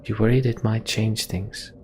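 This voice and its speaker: A man voicing a weary, guilt-ridden fantasy warrior from a video game in a grave, halting confession. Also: fairly low pitch, steady tone, dark tone, a little hiss or hum. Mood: regretful.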